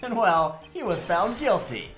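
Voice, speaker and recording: A sassy, theatrical defense attorney wisecracks through a comedic courtroom game, voiced by a woman. The pitch swings extremely widely, and music plays faintly behind. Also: big pitch swings, measured pace. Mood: dramatic. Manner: sassy, theatrical, joking asides. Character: cheeky, dramatic, wisecracking male defense attorney. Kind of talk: comedic visual novel game fandub.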